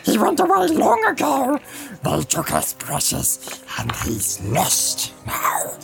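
A woman's voice: high voice, huge pitch swings, takes it slowly, gravelly, over loud music, full character voice. A woman voicing a wretched, split-minded male creature in an audiobook, hissing, whispering and whining, swinging between whimpers and shrieks. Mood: cackling. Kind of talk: audiobook character voice.

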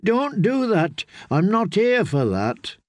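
An earnest, bashful young man, performed by a male narrator, with a raised pitch and a flustered, pleading manner. He sounds like the timid hero of a fantasy audiobook, in a dry studio sound. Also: big pitch swings, takes it slowly, no echo, exaggerated voice.

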